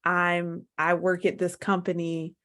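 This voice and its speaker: A woman's voice: fairly low pitch, completely flat delivery, measured pace, a bit bright. A woman hosting a podcast interview, asking long, searching questions in a conversational way. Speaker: podcast host.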